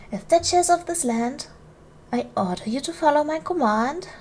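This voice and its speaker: A woman playing a gracious, commanding duchess in an ASMR roleplay, speaking in a formal, measured, soothing way to a servant she protects. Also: sits high, animated, a little mellow. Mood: firm, indignant. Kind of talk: ASMR roleplay, soft formal period speech.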